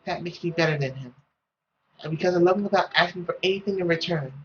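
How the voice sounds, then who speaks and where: A man voicing a gentle, resolute young woman in a visual novel, earnest and formal in delivery. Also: sits high, fast, lo-fi sound, a little hiss or hum.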